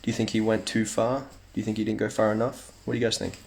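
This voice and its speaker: A man's voice: lowish voice, level tone, fast talker, darkish tone. A young man chatting casually on a phone livestream at home, reading fan comments aloud with playful asides.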